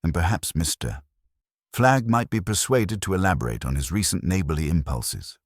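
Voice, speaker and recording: A man voicing a mild, thoughtful, unhurried police inspector with quiet, understated questioning, in a mystery story. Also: low voice, expressive, no echo, studio quality.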